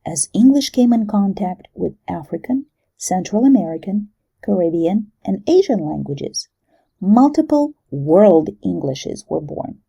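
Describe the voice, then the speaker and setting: Gravelly, husky woman lecturing. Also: mid-range voice, big pitch swings, measured pace, dark tone. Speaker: university lecturer. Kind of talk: recorded lecture narration.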